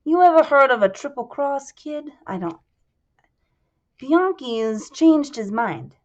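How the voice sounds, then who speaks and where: A woman voicing a male con man posing as a mobster with a put-on Italian-American accent, low and friendly yet menacing. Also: very animated, a little slow, muffled recording. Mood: conspiratorial.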